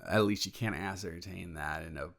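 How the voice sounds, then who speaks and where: A young man playing a protective, secretly jealous male roommate in an improvised intimate audio roleplay, talking in a casual, halting, hesitant way. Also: sits low, creaky, fairly bright tone, exaggerated voice. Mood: defensive.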